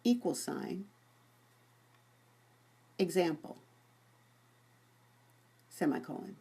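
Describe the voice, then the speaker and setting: A woman presents a software demonstration in an informal way. Her voice sits a little low and has a distinctly husky, unpolished grain. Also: expressive, very slow. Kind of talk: dictating voice commands to software.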